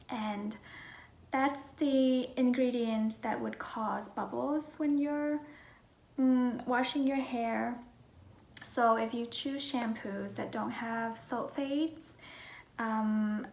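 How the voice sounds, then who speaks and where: A young adult woman from Vietnam with a Vietnamese accent, talking casually and softly with a breathy voice as she answers personal questions for her viewers. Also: a little high. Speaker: vlogger. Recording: roomy echo, faint background noise.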